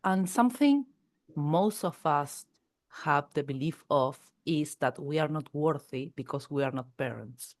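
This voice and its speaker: Brief: woman, deep voice, nervous halting webinar host. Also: no room sound. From Argentina. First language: Spanish. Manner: nervous, halting, laughs often.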